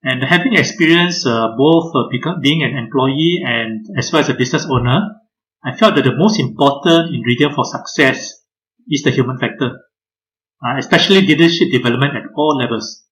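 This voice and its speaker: A man, giving a measured webinar presentation over a video call, pausing often on "uh". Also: medium pitch, fairly bright tone, a little hiss or hum, rough recording. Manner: measured, frequent "uh" hesitations. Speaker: guest speaker.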